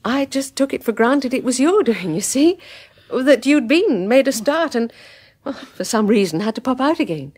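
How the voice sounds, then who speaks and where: A polite young wife. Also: female voice, high-pitched, lively intonation, moderate pace, hardly any pauses, darkish tone, husky. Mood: puzzled. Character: young wife new to a country cottage, polite and curious. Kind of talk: radio drama acting.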